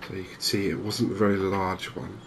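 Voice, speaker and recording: A soft-spoken man giving hesitant, measured ASMR-style commentary as host of a gaming video. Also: deep voice. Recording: clear steady background noise.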